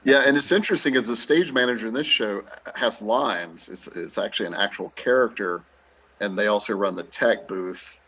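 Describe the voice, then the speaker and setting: Man, low voice, easygoing theatre director-actor giving informal interview answers. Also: normal speed, fairly bright tone, slightly rough. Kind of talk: casual interview talk.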